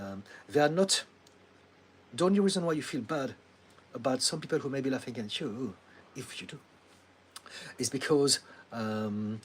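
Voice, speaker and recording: A middle-aged man from France with a French accent, a therapist calmly answering viewers' questions on a livestream, laughing now and then and filling pauses with ums. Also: mid-range voice, slight background noise. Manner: calm, advising, laughs often, many ums.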